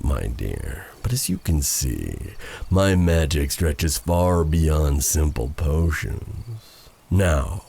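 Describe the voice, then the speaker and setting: Very deep, menacing dark sorcerer. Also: male voice, creaky, a little hiss or hum, heavily acted. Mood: gloating, menacing. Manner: taunting, theatrical, drawn-out delivery with purred endearments. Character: vengeful, silky, menacing male exiled dark sorcerer. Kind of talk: ASMR fantasy audio roleplay.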